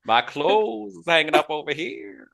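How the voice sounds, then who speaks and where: A man on a panel video call, joking and teasing in a relaxed, colloquial way. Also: a little high, unhurried, creaky. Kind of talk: casual joking banter.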